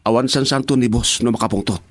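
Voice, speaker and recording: An actor playing a bumbling, excitable henchman who drives, in an Ilocano radio drama. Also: mid-range voice, fast, close to an everyday voice. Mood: joking.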